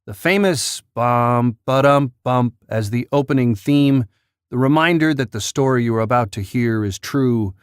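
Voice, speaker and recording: A man's voice: mid-range voice, no echo, clean studio sound. A man reading scripted narration as the host of a countdown list.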